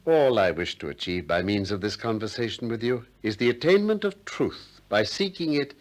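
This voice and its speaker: An actor with a British accent playing a shrewd, politely persistent ancient Greek philosopher in a 1960s radio play, with measured, probing delivery. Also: male voice, lowish voice, expressive, lightly acted. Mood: earnest, probing. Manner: probing, courteous, measured questioning.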